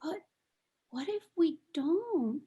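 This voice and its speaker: A man's voice: very high voice, lively intonation, slow, mellow, lo-fi sound, voice pushed up, heavily acted. A man doing a frightened little girl. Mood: scared whisper. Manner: pleading, fearful.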